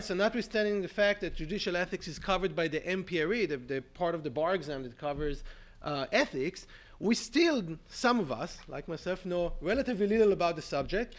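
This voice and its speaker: A man with an Israeli accent giving a conference talk as a law professor, with a nasal voice and congested from a cold, talking in an animated way and often tagging his points with "right?". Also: high-pitched. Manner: animated, frequent "right?" asides, self-deprecating.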